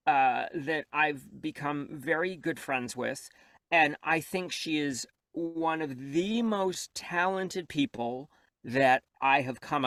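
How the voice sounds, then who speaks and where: A man, an audiobook narrator and coach, talking as a guest in a candid interview. He is reflective and self-deprecating, and he speeds up when he gets animated. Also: medium pitch, no room sound.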